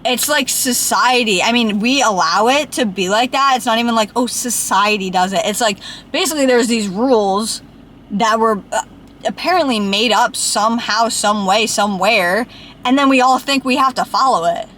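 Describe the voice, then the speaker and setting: A woman's voice: on the high side, normal speed, fairly bright tone, a little hiss or hum. A woman in her late twenties giving a casual vlog to camera, rambling and filling her speech with "like". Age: late 20s. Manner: chatty, fills with "like", speeds up when venting. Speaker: vlogger.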